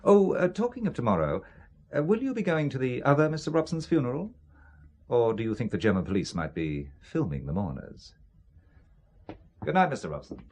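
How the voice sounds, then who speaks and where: Wary, sardonic British businessman, animated delivery. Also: mid-range voice, brisk. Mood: wary, dry. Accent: British English. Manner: dry, sceptical, questioning. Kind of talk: audio drama acting.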